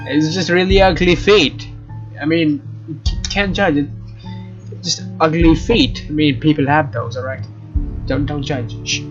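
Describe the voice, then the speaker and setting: Man, fairly high voice, let's player, reads game text, casual joking asides, clear game music behind. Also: muffled recording. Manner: joking, informal.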